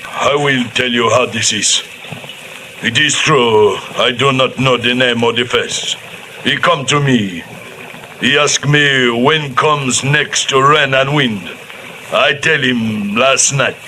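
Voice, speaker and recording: A superstitious old voodoo fake who speaks in slow, broken English, commanding and proud. His voice is bright and forceful, and he draws every phrase out at a very slow pace. It sounds like an old radio play with a faint hiss underneath. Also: mid-range voice, poor audio. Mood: fearful. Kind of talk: 1940s radio acting.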